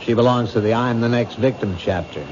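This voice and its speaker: A man's voice: medium pitch, a little fast, crowd noise, natural voice. A man acting as a skeptical, wisecracking police captain in an old radio crime drama, slangy and dismissive. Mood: wry, dismissive. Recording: muffled, noisy old radio recording.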